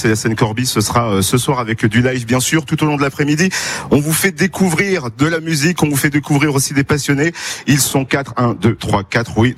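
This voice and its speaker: A man hosting a live radio interview from an outdoor festival stage, chatty and jokey, with frequent hesitations. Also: mid-range voice. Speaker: radio host.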